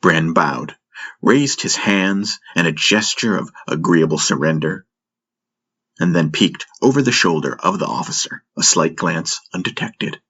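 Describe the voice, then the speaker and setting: Deep, slow, husky male audiobook narrator. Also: animated, bright, forceful tone. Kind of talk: dramatic scripted first-person narration. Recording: music bed under the voice.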